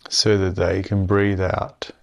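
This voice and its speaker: A man guides a meditation in a low, smooth voice. He speaks very slowly and soothingly, leaving long pauses between phrases. His delivery stays calm and very even in pitch throughout.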